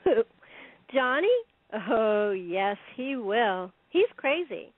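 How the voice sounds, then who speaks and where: A woman's voice: a little high, expressive, slow pace, dry recording. A woman playing a sinister, coolly confident secretary turned armed robber in an audio play, mocking and self-assured. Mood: cool, threatening. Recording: tinny narrow phone-like sound.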